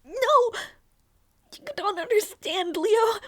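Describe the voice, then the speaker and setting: A woman playing a grieving, devoted young princess in a dramatic audio roleplay, halting and emotional, with a breathy, whispery delivery. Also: very high-pitched, animated, no echo, full character voice. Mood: tearful, desperate. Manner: halting, repeats phrases, emotional.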